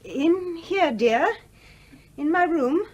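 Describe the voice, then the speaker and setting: Firm, independent mother, animated. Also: very high-pitched, drawn-out words, highs cut off, full character voice. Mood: tender, amused. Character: firm, independent widowed mother, gently dignified. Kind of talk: radio play acting. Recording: noisy radio play recording.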